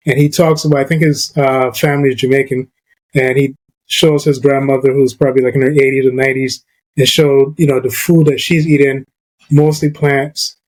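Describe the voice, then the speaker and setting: A man's voice: mid-range voice, level tone, a little fast, darkish tone, rough recording. A man from Barbados hosting a podcast, talking casually and loosely with plenty of "you know" and "like". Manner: conversational, many fillers like "you know" and "like". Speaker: podcast host.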